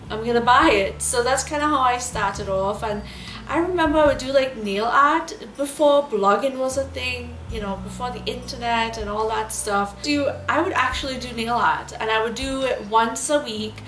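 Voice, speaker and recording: Trinidadian woman in her thirties, chatty beauty vlogger, slightly high voice, roomy echo with faint music behind. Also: fairly bright tone. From Trinidad and Tobago. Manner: chatty, rambling, many fillers. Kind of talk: casual storytelling vlog.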